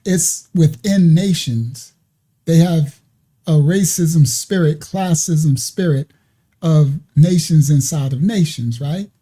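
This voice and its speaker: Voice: medium pitch, takes it slowly, mellow, husky. An online ministry host giving loose, casual commentary over video clips, often tagging "right?" onto sentences. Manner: talks loosely, with repeated "right?" and "you understand?" asides.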